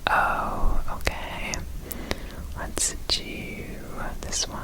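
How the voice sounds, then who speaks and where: A woman whispering softly and breathily in an ASMR roleplay, giving gentle, reassuring step-by-step instructions as an examiner. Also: drawn-out words, low-quality recording. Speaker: ASMR performer playing an examiner.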